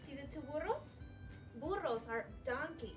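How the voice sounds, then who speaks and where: A woman teacher reading a picture book aloud to young children in an expressive, clearly phrased storytelling way. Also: high voice, normal speed, recorded from a distance, over music.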